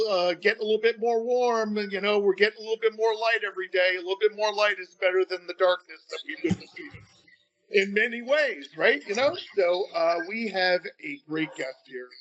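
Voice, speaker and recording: A man's voice: high-pitched, a little fast, a bit bright, lo-fi sound. A man hosting a podcast in informal, slangy casual chat. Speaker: podcast host.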